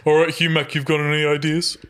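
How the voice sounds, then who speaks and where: A man's voice: a little high, completely flat delivery, quick pace, a bit bright. A man playing a tabletop game, casually improvising comedic roleplay among friends.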